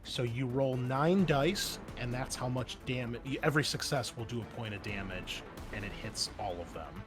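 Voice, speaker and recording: A man running a tabletop roleplaying game as game master, explaining rules in a halting way and thinking aloud. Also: medium pitch. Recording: loud background music. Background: music.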